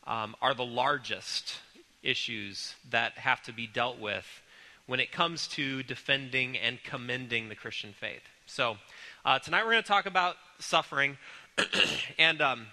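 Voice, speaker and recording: A man with a cold, sounding congested, teaching a church class in an earnest, halting way with many ums and restarts. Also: medium pitch, pushed and bright, long reverb tail, poor audio. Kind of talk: recorded church class lecture.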